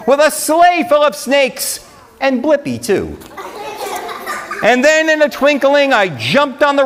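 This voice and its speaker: A man doing a live storytime read-aloud of comic rhyming verse, with an animated, theatrical delivery. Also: very high voice. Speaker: librarian storyteller.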